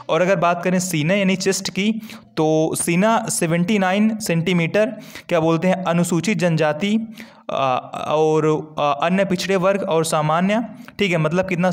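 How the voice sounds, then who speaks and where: A man gives an informal, conversational explainer as an exam-preparation host, repeating key points as he walks through a document. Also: a little high. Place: screen-recorded voice-over.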